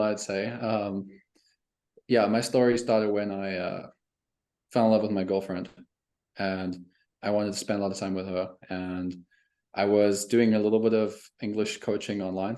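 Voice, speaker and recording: Young south african guy w/ a bit of a canadian accent, relaxed storytelling, deepish voice. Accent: South African mixed with Canadian. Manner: relaxed, laid-back storytelling with fillers. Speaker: guest, email marketing coach. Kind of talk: casual interview chat.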